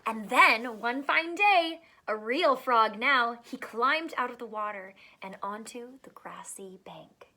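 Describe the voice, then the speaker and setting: A woman teacher reading a storybook aloud to children, expressive, with dramatic pauses and emphasis. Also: a little high.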